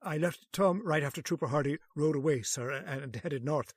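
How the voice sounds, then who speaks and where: An actor playing an earnest older police sergeant with a slightly raised, husky voice, torn up over the death of a young trooper he loved like a son. Recorded dry and close for a radio play. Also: on the high side, normal speed, no echo. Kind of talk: old-time radio drama reading.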